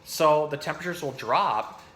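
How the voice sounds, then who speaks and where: Bright-voiced man, informal educator. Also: fairly high pitch, moderate pace. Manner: informal, explanatory. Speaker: zookeeper hosting an animal storytime. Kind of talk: casual educational talk and storybook reading.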